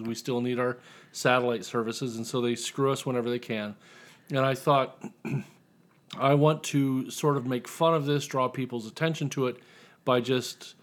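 A tipsy American man from Indiana chatting casually as an author guest, rambling, self-deprecating and profane. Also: mid-range voice. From Indiana, United States. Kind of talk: casual chat.

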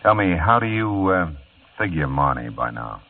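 A man's voice: sits low, big pitch swings, moderate pace. An actor playing a world-weary, wisecracking private eye who narrates his case in an old radio drama. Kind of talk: 1940s radio acting. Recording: tinny old radio broadcast with music.

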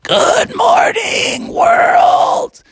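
A man voicing a young boy frog in a storybook, earnest and polite, with a strained, scratchy falsetto. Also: wildly swooping pitch, very slow, a bit bright, no room sound, shouting, studio quality, exaggerated voice. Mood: strained, distressed.

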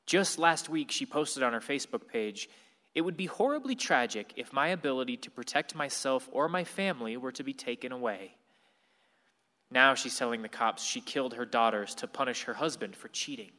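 A man's voice: mid-range voice, lively intonation, normal speed, sharp tone. An actor in a radio play playing a blunt male news reporter delivering grim bulletins. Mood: grave. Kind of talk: radio play narration as newscast. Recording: long hall reverb.

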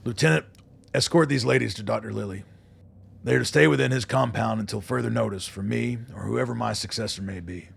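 A man playing a gravelly, gruff army colonel. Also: medium pitch, brisk. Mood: commanding, resolute. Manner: blunt, dry, commanding. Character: gruff, embattled military colonel defending a rebel republic. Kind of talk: radio drama acting.

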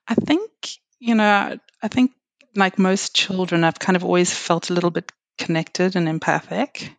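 A middle-aged woman from South Africa with a South African accent, a guest chatting reflectively with frequent fillers and soft laughs. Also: fairly low pitch, moderate pace, dry recording. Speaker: guest, reflexology and Reiki practitioner. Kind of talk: casual interview chat.

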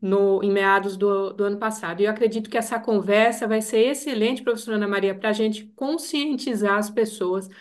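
Woman attorney on a video call, level and even delivery, formal and courteous. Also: medium pitch, fairly quick, few pauses. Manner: formal, full of courteous thanks. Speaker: state attorney and postdoctoral researcher. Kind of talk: formal panel conversation.

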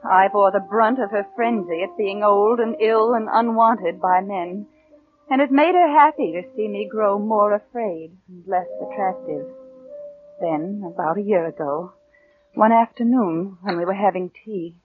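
An actress playing a bitter, downtrodden orphan niece, narrating with restrained intensity in a 1940s radio drama. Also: female voice, mid-range voice, measured pace, lightly acted. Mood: bitter, brooding. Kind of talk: 1940s radio acting, first-person narration. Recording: narrow-band vintage radio recording with music.

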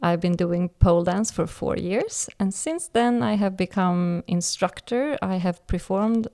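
A woman's voice: medium pitch, a little slow, mellow. A woman in her forties from Sweden, speaking English with a Swedish accent as she hosts a casual podcast chat and leads the talk. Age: 40s. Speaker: podcast host.

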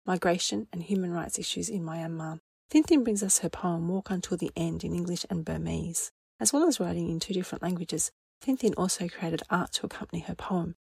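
Husky, creaky-voiced woman presenting poetry. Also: on the low side, dark tone, no room sound. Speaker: podcast host. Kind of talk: scripted narration.

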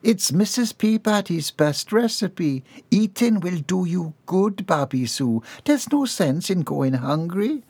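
A poised, motherly matron, as a male narrator voices her in a storybook. She speaks raised and measured, firm under the calm. Dry, close recording. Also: sits high, breathy edge, no room sound, pitched above the performer's own voice, exaggerated voice. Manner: soothing but stern. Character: composed, motherly middle-aged matron.

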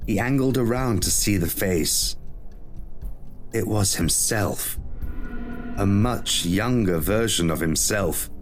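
Low-pitched male narrator, dramatic scripted storytelling, eerie-fiction podcast read, faint music underneath. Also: takes it slowly, pauses often. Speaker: narrator of an audio horror story.

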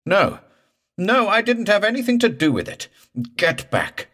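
A man's voice: high-pitched, big pitch swings, a little slow, sharp tone, voice pushed up, exaggerated voice. A man voicing a terrified, frantic man in a horror story, pleading and cursing in a raw, thick voice. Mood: panicked. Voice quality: raw, thick, breaking upward.

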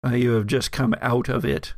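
A man narrating a tabletop role-playing game as game master, in a deliberate, wry, conversational way. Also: mid-range voice, steady tone, no echo, studio quality.

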